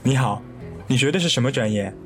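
A curt, dismissive job interviewer acted by a man in a radio drama. His voice is medium in pitch with lively swings, over faint guitar music. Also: fast talker, natural voice.

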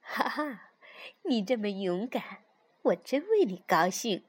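A woman voicing a gentle, doting, easily worried mother fox in a storybook, speaking softly and expressively. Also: on the high side, slow, breathy edge, heavily acted. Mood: anxious, tender. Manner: expressive, coaxing.

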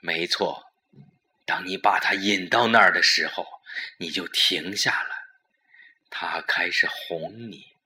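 A man playing a scheming, excited lover who lays out a murder plan in a commanding, emphatic way for an audio drama. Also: sits low, takes it slowly, heavily acted. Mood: conspiratorial, intense.

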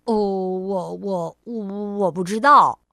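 A woman's voice: medium pitch, takes it slowly, pushed and bright, dry recording, studio quality, voice pushed down. A woman voicing a clumsy, cheerful grown man who stutters and repeats his words, in a children's storybook reading. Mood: bumbling. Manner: stammering, hesitant, repeating words.

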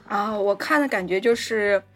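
A woman's voice: mid-range voice, moderate pace, faint music behind. A woman co-hosting a casual film discussion, going step by step with repeated linking words. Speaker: podcast co-host.

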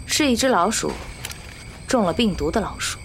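A woman's voice: mid-range voice, animated, moderate pace, slight background noise, close to an everyday voice. A woman playing a cool, guarded lone survivor in an audio drama, speaking in a terse, detached, authoritative way. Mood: flat, matter-of-fact. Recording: loud music under the voice.

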